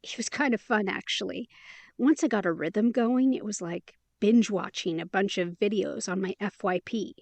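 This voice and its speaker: An actress playing a caring, candid young woman in an intimate audio drama, speaking in a casual, gently encouraging way. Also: medium pitch, normal speed, vocal fry, no echo. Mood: sincere.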